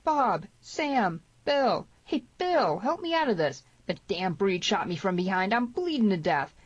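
A woman's voice: mid-range voice, lively intonation, unhurried, husky, no echo, highs cut off, pitched above the performer's own voice. A woman voicing a cowardly wounded male Western villain who groans and pleads desperately, in an audiobook story. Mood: frantic appeal.

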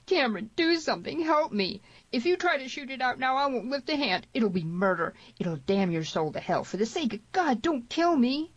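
A woman's voice: fairly high pitch, big pitch swings, moderate pace, hardly any pauses, no room sound, lo-fi sound, pitched above the performer's own voice. A woman voicing a cowardly wounded male Western villain who groans and pleads desperately, in an audiobook story. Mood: groaning, begging.

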